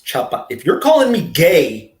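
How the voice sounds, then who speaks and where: A man's voice: fairly high pitch, wildly swooping pitch, normal speed, a little mellow, echoey room. A man from California talking crude and jokey on a casual livestream, easily flustered. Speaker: streamer reacting to videos.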